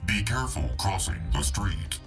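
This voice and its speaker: A man voicing a blunt, literal robot translator for a video game hero, with deadpan, clipped announcements and robotic processing. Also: low voice, moderate pace, soft background music. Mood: mechanical, festive. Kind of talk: video game character voice lines.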